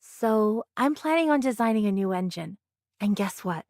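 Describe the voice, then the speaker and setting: A woman's voice: mid-range voice, expressive, normal speed, a little mellow, dry recording, studio quality, natural voice. A woman voicing a confident, inventive young spaceship engineer in an anime game. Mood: confident, inspired. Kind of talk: English anime game voice acting.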